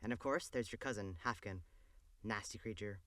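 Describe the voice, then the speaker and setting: Sardonic castle steward male actor, audio drama. Forceful, scolding, long-suffering, recorded dry. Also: fairly low pitch, moderate pace, no room sound, lightly acted. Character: fussy, exasperated long-serving male royal steward.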